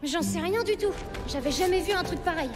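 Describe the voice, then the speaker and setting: A woman voicing an impulsive, curious child hero in a dinosaur cartoon, excitable and expressive. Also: very high voice, fairly quick, over loud music. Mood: baffled, protesting. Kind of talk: French children's cartoon dubbing.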